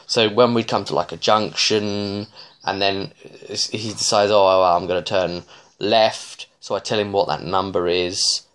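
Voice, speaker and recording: A man in his thirties giving a casual, rambling recap as a game master, with halting, digressive phrasing and frequent restarts. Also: low voice, steady tone, fairly bright tone, clear, resonant tone. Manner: halting, digressive, often restarting mid-sentence. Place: small living room, indoors.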